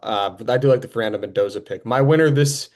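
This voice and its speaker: A man's voice: medium pitch, fast, darkish tone. A young man hosting a podcast, talking sports in a casual, conversational way.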